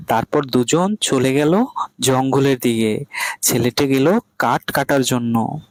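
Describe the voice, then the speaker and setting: A man narrating a cartoon fable as a scripted storyteller. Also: mid-range voice.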